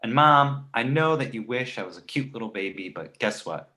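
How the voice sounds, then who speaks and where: A man voicing a precocious, manipulative baby boy with a smart-alecky comic delivery in an animated comedy read. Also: medium pitch, normal speed. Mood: cheeky, pleading. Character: precocious, wisecracking, manipulative two-month-old baby boy.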